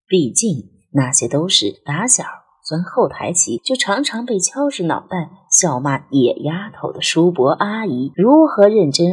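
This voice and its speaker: A woman narrating a scripted ghost story as an audiobook, with an expressive, literary storytelling delivery. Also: low voice, husky. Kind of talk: scripted dramatic storytelling, ghost romance novel.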